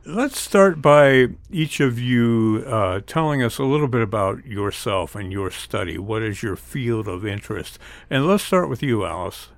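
A man hosting and moderating a panel discussion in a relaxed, conversational way. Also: mid-range voice, unhurried, gravelly, hardly any pauses, no room sound. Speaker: host, moderator.

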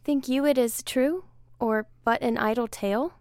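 A woman's voice: a little high, normal speed, darkish tone, dry recording, studio quality, pitched above the performer's own voice, close to an everyday voice. A woman voicing a worried, doubtful woman traveler in a storybook, speaking hesitantly. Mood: anxious.

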